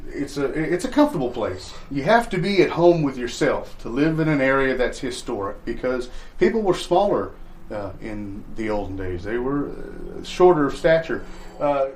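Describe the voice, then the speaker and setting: Casting: male actor as evasive small-time landlord, medium pitch, halting euphemistic sales patter, improv comedy sketch, lightly noisy live recording. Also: sing-song, a little mellow, natural voice. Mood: rambling, reassuring. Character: evasive, sales-hungry male landlord showing shabby rental bungalow.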